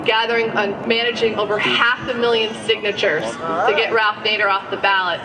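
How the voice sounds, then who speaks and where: A woman's voice: medium pitch, steady tone, normal speed, a crowd in the background. A woman in her thirties giving an earnest speech to an audience, with frequent fillers and self-corrections. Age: 30s. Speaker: guest speaker at a rally. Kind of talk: public speech. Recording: distant mic with audience noise.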